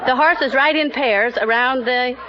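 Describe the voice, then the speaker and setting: A woman's voice: high-pitched, a little slow, a crowd in the background. A woman answering as a contestant on an old radio quiz, in unscripted talk. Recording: noisy muffled 1950 radio broadcast. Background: studio audience.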